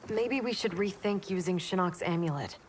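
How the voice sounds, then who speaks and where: A woman's voice: on the low side, moderate pace, a little hiss or hum, close to an everyday voice. Woman as a worried young soldier. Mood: concerned. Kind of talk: video game cutscene acting.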